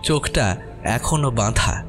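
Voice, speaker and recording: A man's voice: medium pitch, normal speed, over music. A man narrating a scripted horror story in a dramatic style.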